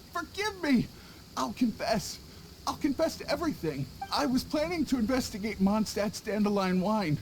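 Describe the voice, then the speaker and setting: A man's voice: extremely high pitch, poor audio. A man voicing a cowardly, scheming visiting merchant in a game, stammering and panicky. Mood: pleading.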